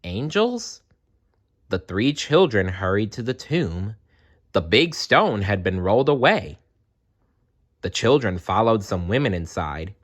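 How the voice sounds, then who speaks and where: Slow, expressive male storyteller. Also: on the low side. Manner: expressive, dramatic. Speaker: storyteller reading aloud. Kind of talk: scripted children's story narration.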